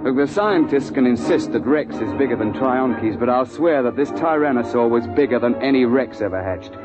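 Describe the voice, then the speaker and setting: An actor playing a seasoned big-game hunting guide in a 1950s radio drama, brisk, exasperated and authoritative, with clipped old-boy phrasing. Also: mid-range voice, expressive, lightly acted. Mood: vivid storytelling. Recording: muffled 1950s radio recording with music.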